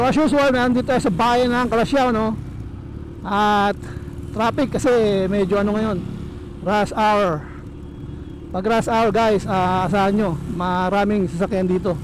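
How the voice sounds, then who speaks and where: Male motovlogger, Filipino accent, very high voice projected casually from inside a helmet, clear traffic noise. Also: unhurried, pauses often. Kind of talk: casual riding commentary. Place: outdoors on a motorcycle in town traffic. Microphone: lavalier microphone in a helmet. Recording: noisy street with traffic and engine.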